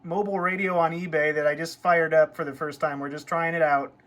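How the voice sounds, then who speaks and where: A man's voice: on the high side, steady tone, a little fast. A man giving a casual hands-on demo and calling out as a CB radio hobbyist. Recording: clear background radio noise.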